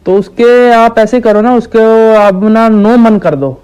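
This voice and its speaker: A man playing a slow-witted, stubborn village shopkeeper on a deadpan prank call, hesitant and naively persistent. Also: high-pitched, clean tone. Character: dim, stubborn village shopkeeper ordering absurd medicine quantities. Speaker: prank caller.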